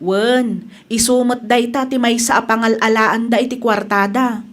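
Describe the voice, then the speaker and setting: Woman acting kind high-voiced Ilocano storekeeper wife. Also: fairly even intonation, normal speed, natural voice. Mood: considerate. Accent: Filipino, Ilocano. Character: kind, generous wife who keeps a small store. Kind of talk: radio drama acting in a comedy-drama.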